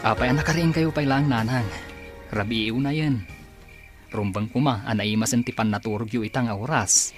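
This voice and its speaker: Man playing a humble, polite man, medium pitch. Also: normal speed, over music, lightly acted. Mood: respectful, reassuring. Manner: polite, earnest. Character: humble, grateful adult man, blind singer and masseur. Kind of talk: Ilocano audio drama acting. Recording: faintly noisy track with cricket sounds.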